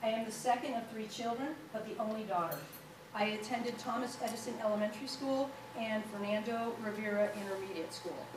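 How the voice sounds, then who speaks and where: A woman's voice: a little high, brisk. A woman presenting a student award at a board meeting, reading aloud with small slips and fillers. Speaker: school official presenting an award. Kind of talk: reading a formal introduction at a meeting. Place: large meeting room. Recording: echoey hall, picked up from far off, with noise.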